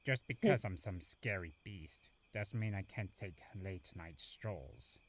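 Aloof male cartoon forest beast, acted by a man: low pitch, lonely and gruff, curt, dry delivery. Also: expressive, unhurried, heavily acted. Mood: dry, guarded. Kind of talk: animated fan drama acting.

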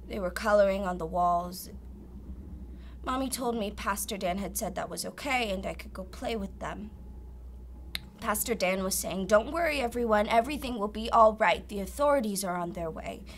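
A woman playing a grown woman with a child's mind in an audio drama, speaking simply and haltingly. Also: mid-range voice, slight background noise, lightly acted.

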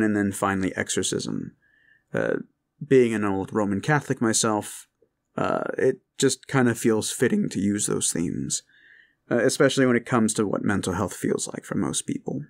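A man's voice: fairly low pitch, vocal fry. A man speaking informally and earnestly to his listeners as an audio creator, in a reassuring way. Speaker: audio roleplay creator and host. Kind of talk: informal heartfelt address to listeners.

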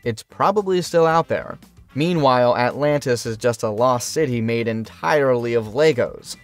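A man's voice: mid-range voice, normal speed, no room sound. A man reading a scripted countdown narration with wry, punchy, sarcastic asides. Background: faint background music.

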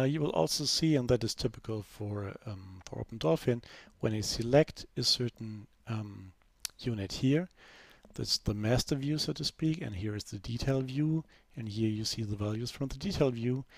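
A man narrating a screen-recorded software demo in a relaxed, unscripted way, full of fillers and restarts. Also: medium pitch, creaky, no room sound. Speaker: software developer giving a demo.

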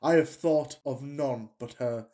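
A man's voice: mid-range voice, measured pace, warm tone, natural voice. Guy acting fussy dramatic victorian gent in a radio comedy, pompous and gushing. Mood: rapturous. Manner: declamatory, pompous, florid. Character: melodramatic, fussy Victorian gentleman suitor, self-pitying and passionate. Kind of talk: farcical radio play acting.

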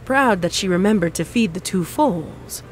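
A woman's voice: lowish voice, expressive, moderate pace, soft background music. A woman reading scripted story narration.

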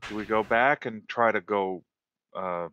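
Slow, bright-voiced man on dull voice chat. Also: lowish voice, faint music behind. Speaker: player in an online tabletop game. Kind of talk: casual game chat, reading out screen text.

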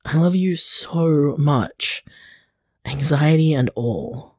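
Man playing a slow, tender, supportive boyfriend. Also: fairly high pitch, a little mellow, slightly rough, no echo, clean studio sound. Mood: tender, loving. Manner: tender, earnest, halting, casual. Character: loving, supportive boyfriend, earnest and gentle. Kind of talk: audio drama voicemail acting.

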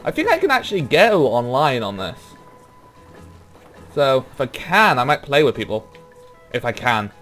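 A young man with a Welsh accent chats loosely over his gameplay at a middling pitch, drifting between thoughts and filling gaps with ums. Faint game music sits under his voice. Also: sing-song, moderate pace, pauses often, fairly bright tone. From Wales. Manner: rambling, laid-back, trails off with ums. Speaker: gaming YouTuber. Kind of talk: casual let's play commentary.

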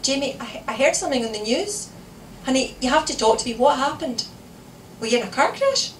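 A woman voicing a frazzled, sharp-tongued and anxious young mother in a story reading, scolding and pressing with questions. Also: high voice, big pitch swings, quick pace, voice pushed up. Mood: worried, pleading. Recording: echoey room, distant mic, noisy.

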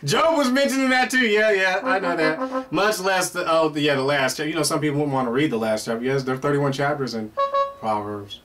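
Excitable man hosting over loud guitar music. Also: a little high, a little fast. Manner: playful and excitable, reacting aloud to an unseen guest with many "oh"s and laughs. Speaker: host of a question and answer show. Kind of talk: animated comedic Q&A chat. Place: indoor room before a pale curtain. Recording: distant mic with loud guitar music behind.

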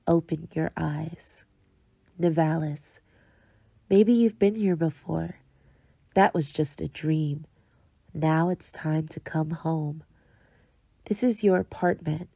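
Woman playing a digital companion in a game trailer, slow and creaky, coaxing, knowing. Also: deep voice, dark tone, dry recording, heavily acted. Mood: hushed, inviting. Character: female digital companion, coaxing guide to a city. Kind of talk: scripted game trailer narration.

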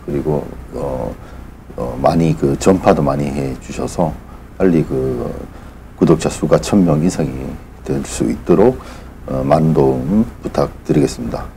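A middle-aged man, a reporter hosting his channel, making an unscripted announcement to viewers in a halting, formal and polite way. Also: extremely low pitch, vocal fry, dark tone, a little hiss or hum.

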